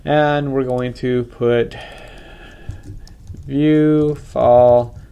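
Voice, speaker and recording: A man with a head cold, talking casually through a software tutorial and correcting himself as he goes. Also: medium pitch, steady tone, very slow, creaky, clear, resonant tone, muffled recording. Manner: casual, thinks aloud, self-correcting. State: congested from a cold. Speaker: software instructor. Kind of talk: screen-recorded software tutorial.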